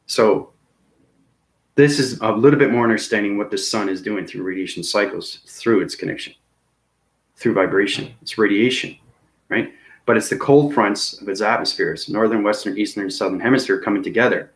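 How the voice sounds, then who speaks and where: Guy rambling on about cosmic stuff, low voice, repeats himself a lot. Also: moderate pace. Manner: rambling, repetitive, laughs at himself. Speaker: video host. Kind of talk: rambling cosmic monologue to viewers.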